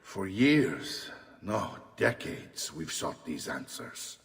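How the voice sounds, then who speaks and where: An actor playing an earnest older uncle in a video game, speaking deliberately and with weight. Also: male voice, deep voice, very animated, vocal fry, a bit bright, heavily acted. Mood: wistful. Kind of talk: dramatic video game cutscene acting. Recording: long echoey hall reverb.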